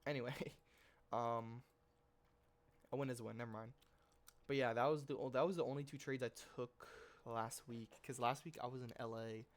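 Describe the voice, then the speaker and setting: A man's voice: medium pitch, fairly even intonation, moderate pace. A man with a stuffy nose from a cold, host of a trading channel, talking through his trades in a loose, rambling, slangy way that keeps correcting itself. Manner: slangy and informal, mild swearing. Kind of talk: casual screen-share trade recap.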